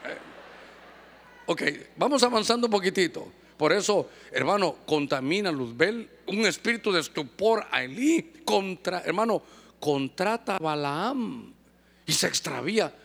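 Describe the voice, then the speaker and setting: Lively high-voiced man preaching a sermon. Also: a little slow, gravelly. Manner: lively, joking with the congregation, acting out little scenes.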